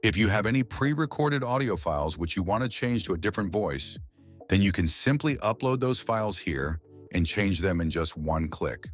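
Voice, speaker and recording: A man hosting a scripted software tutorial, narrating as the presenter. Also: on the low side, expressive, normal speed, soft background music. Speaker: YouTube tutorial host.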